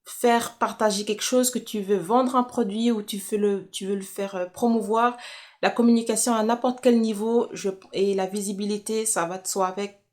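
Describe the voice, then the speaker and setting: A woman's voice: mid-range voice, fairly even intonation, moderate pace, darkish tone. A young woman from Kinshasa chatting casually in a personal question-and-answer vlog, correcting herself as she thinks aloud. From Congolese, from Kinshasa, living in Switzerland. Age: young adult. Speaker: YouTuber.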